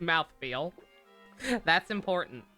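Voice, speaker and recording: A woman's voice: sits low, slow, bright, forceful tone, soft background music. A woman livestreaming a game with casual, joking, slangy commentary addressed to her viewers.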